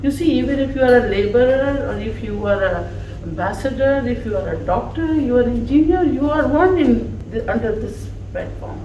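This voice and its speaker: A woman whose first language is Urdu giving a slow, heartfelt tribute speech at a farewell gathering. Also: on the high side, lively intonation, muffled recording. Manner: slow, warm, emphatic, laughing between phrases. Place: office gathering room. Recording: echoey room from far off, faint noise.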